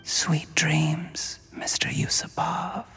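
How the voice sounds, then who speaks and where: An actor playing a cold, scheming usurper in a video game, mock-polite, measured and gloating. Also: male voice, on the high side, level tone, gravelly, bright, forceful tone, whispered, exaggerated voice. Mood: soft, menacing. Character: cold, scheming male usurper, calculating and smug. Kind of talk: dramatic video game villain acting. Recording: long hall reverb with music.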